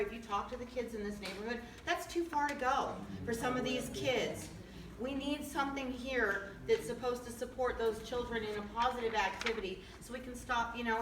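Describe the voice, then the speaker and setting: A woman's voice: on the high side, fairly bright tone. Earnest mother at a public meeting, echoey. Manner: earnest, pleading, slow emphatic stresses. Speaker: local resident speaking at a meeting. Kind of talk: impassioned public comment. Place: community meeting room. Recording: echoey hall, voice from far off, faint noise.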